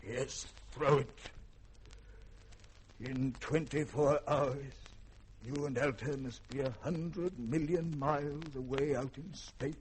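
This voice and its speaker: An actor playing an anguished, commanding older scientist father in 1950s radio drama, with a halting, dramatic delivery. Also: mid-range voice, steady tone, close to an everyday voice. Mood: weary command. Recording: noisy vintage radio recording.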